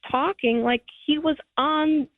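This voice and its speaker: Lady on the phone, kinda high voice, tinny line, blunt and unimpressed. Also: slow, dry recording. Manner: flat, unimpressed. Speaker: caller on a dating segment. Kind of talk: casual phone chat.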